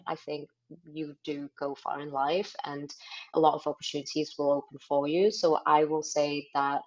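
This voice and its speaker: A woman from Sri Lanka, a guest on an interview podcast, giving earnest, encouraging advice. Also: low-pitched. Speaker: guest, business development executive. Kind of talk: interview answers. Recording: slightly muffled remote audio.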